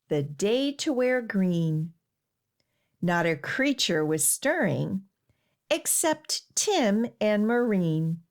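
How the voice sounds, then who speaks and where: A woman hosting a children's storytime and reading a picture book aloud in an expressive, deliberate way. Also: medium pitch. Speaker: children's librarian and storytime host.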